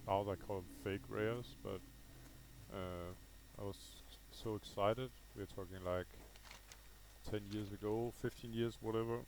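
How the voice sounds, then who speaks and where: A man with a Danish accent talks through his gameplay in a casual, unscripted way. His voice is on the low side and has a gravelly creak. He thinks out loud with some hesitation, over noticeable background noise. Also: fairly even intonation, measured pace, rough recording. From Denmark. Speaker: gaming video host.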